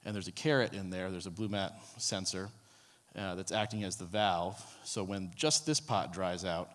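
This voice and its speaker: A man with a low voice presents to a room, speaking casually and filling pauses with ums and false starts. His voice carries a long hall reverb. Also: a bit bright. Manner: casual, many ums and restarts. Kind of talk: conference talk. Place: conference room.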